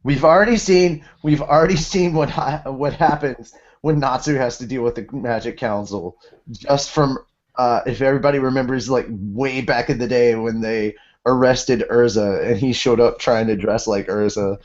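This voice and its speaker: Man, mid-pitched, casual podcast banter, rambling, repetitive emphasis, muffled lo-fi recording. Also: moderate pace. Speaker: podcast co-host. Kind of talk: casual banter about anime. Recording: dull lo-fi audio.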